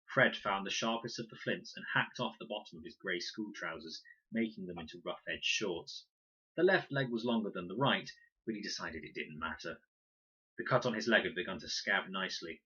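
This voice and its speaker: A man reading a children's adventure story aloud in an expressive, dramatic storytelling manner. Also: low voice, brisk, slightly rough, muffled recording. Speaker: audiobook reader and teacher reading aloud. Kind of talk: animated scripted narration of a children's adventure story.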